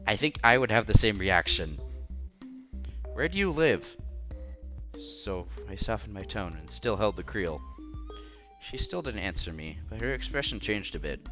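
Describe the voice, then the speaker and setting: A man reading story narration aloud with expressive, theatrical reactions as he hosts a visual novel playthrough. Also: fairly low pitch.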